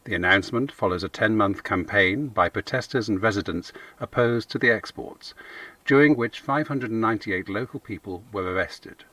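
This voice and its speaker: An actor playing a formal English radio newsreader, reading a bulletin in a measured way. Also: male voice, lowish voice, sharp tone, far from the mic. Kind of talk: scripted news bulletin.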